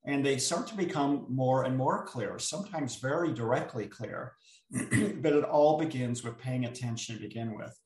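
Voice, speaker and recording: A man who is an author, telling stories as a guest in an unhurried, thoughtful way with frequent filler pauses. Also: medium pitch, darkish tone. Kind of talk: reflective storytelling chat. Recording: roomy sound, distant from the mic.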